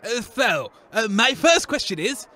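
An actor playing a vain, tipsy radio co-host in a comedy radio play, muddled and over-excited. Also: male voice, extremely high pitch, measured pace, full character voice. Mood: giddy.

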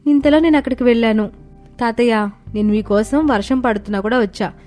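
A woman voicing a kind, outspoken young female office worker in an animated moral story, speaking earnestly. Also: on the high side, fairly quick, close to an everyday voice. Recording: background music under the voice.

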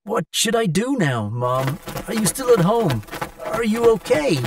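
A man's voice: high-pitched, sing-song, a little slow, far from the mic, noisy background, full character voice. A man playing a spoilt young gambler son in a dramatic, self-pitying cartoon style. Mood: regretful. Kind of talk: English-language cartoon acting.

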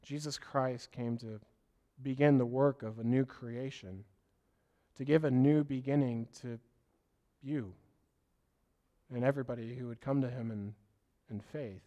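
A man preaching a sermon as pastor, hoarse from a cough, with a scratchy voice and an earnest, rambling way of talking. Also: medium pitch, fairly even intonation, a little slow, long pauses, warm tone, lo-fi sound. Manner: earnest, loses his train of thought and apologizes.

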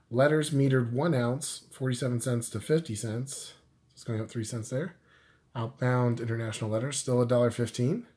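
A man with a husky, slightly raspy voice at a middling pitch talks casually to his phone camera at home. He rambles through prices and tips, stopping often on "uh" and "um" and starting his sentences over. It sounds like an everyday vlog. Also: a little mellow. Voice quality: husky, scratchy. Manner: rambling, full of fillers and restarts. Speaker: YouTube vlogger. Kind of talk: casual talk to camera, explaining postage prices.

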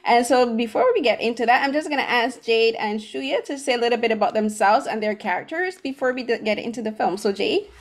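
A woman's voice: on the high side, fairly quick, hardly any pauses. A middle-aged woman hosting a film interview in a conversational style over a video call. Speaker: interview host. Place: small room at home. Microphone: computer mic on a video call.

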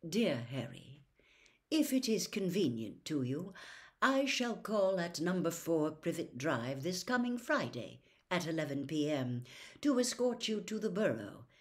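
An older woman reading a novel aloud at home, expressive, turning louder and more declaiming for quoted passages. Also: lowish voice. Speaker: storyteller. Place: small home room with bookshelves.

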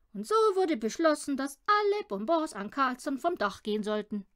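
A woman reading a children's audiobook as an expressive storyteller. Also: high-pitched. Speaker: audiobook reader.

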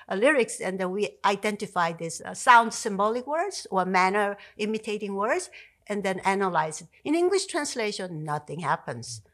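A woman in her sixties, a native Korean speaker with a Korean accent, explaining language in an animated podcast chat, with many fillers and restarts. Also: medium pitch. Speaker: Korean language professor, guest. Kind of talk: conversational explanation. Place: home study with bookshelves. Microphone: podcast mic on stand.

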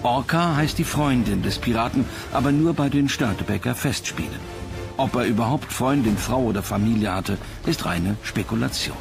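Gravelly male documentary narrator. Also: mid-range voice, moderate pace, over music. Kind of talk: scripted narration.

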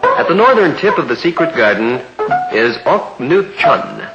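1950s newsreel man over loud music. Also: fairly high pitch, big pitch swings, muffled recording. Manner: measured, formal announcer delivery. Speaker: newsreel narrator. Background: loud orchestral music.

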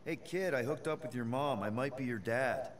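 An actor playing a wisecracking human space captain who talks in a casual, self-deprecating way. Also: male voice, mid-range voice, moderate pace, crowd noise, close to an everyday voice. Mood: rambling.

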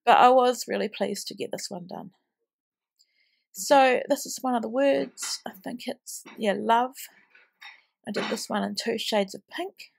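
A woman's voice: a little high, measured pace, creaky, breathy edge. Stuffy-nosed woman chatting over crafting. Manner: chatty, rambling with ums. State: stuffy nose from a cold; late pregnancy, tired. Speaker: scrapbooking vlogger.

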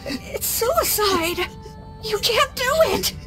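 Very high, tearful little boy. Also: expressive, slow, music in the background, full character voice. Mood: desperate pleading. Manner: tearful, pleading, stammering. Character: frightened, tender young boy, pleading and distraught. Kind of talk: dramatic video game voice acting.

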